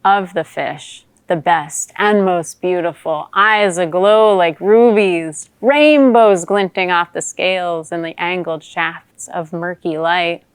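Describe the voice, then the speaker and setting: Woman acting a wry lady newt telling a pond tale, slow n theatrical, very dry clean sound. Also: on the low side, smooth, dry recording. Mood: somber, wry. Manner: measured, theatrical storytelling. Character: wry, watchful female newt narrating from a drying pond. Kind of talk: dramatic monologue poetry performance.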